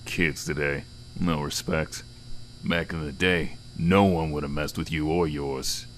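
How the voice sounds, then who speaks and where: A man's voice: extremely low pitch, fairly even intonation, unhurried, slight background noise. A man playing a middle-aged former gangster and bartender in an audio drama, talking in a familiar, slangy, musing way. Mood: nostalgic, conflicted. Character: nostalgic old gang buddy, bartender, conflicted father. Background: music.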